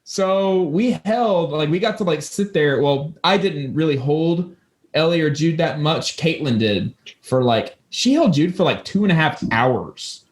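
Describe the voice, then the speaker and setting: Young male host, fairly high voice, profane rambling banter, strong room echo. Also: animated, a little slow. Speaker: podcast host. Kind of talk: casual banter and anecdotes. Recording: very echoey room.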